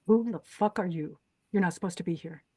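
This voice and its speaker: A woman acting a bossy, menacing beauty pageant host in a screenplay table read, brisk and commanding. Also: fairly low pitch, warm tone, breathy edge. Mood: hostile.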